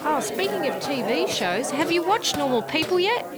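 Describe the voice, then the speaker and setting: A woman playing a chatty, enthusiastic friend in naturalistic audio drama, gushing and excitable. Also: high-pitched, moderate pace, over a noisy crowd.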